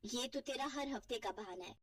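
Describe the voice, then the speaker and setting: A woman's voice: fairly high pitch, a little fast, a bit bright, slightly rough, dry recording, studio quality, distant mic. A woman voicing a bossy, nagging young housewife who scolds huffily in a family cartoon. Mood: scolding. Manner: scolding, huffy. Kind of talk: Hindi family cartoon voice acting.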